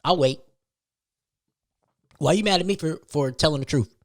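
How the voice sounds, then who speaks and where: A man's voice: on the high side, normal speed. A man hosting a podcast, telling a personal story as a casual, slangy rant, leaning on repetition and rhetorical questions. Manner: emphatic, slangy, repeats phrases and rhetorical questions.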